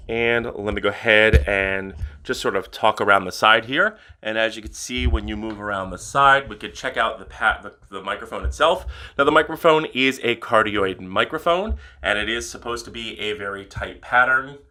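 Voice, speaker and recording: A man with a very deep voice reviewing gear in a casual vlog, talking in a relaxed way with many uhs. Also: moderate pace. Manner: relaxed, filler-heavy talk with many uhs.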